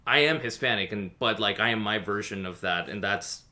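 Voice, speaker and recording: A young man hosting a casual interview in a comic shop, reflective and halting, with many restarts. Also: on the low side, bright, forceful tone. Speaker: podcast host.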